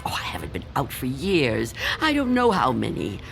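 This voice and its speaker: A woman's voice: very deep voice, wildly swooping pitch, normal speed, vocal fry, soft background music, heavily acted. An actress playing a frightened, reclusive old woman afraid of death in a radio drama, tremulous and pleading. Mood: fearful.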